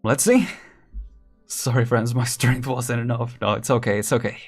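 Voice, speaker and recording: A man's voice: medium pitch, steady tone, moderate pace, faint music behind. A young man casually commenting while streaming a game, chatty and laughing often, counting down as he plays. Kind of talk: casual live gameplay commentary.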